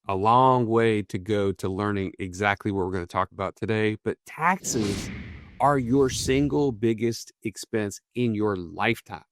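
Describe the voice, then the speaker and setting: A man's voice: medium pitch, fairly bright tone, no echo, clean studio sound. A man co-hosting a finance podcast, speaking emphatically and motivationally. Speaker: podcast co-host. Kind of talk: podcast chat.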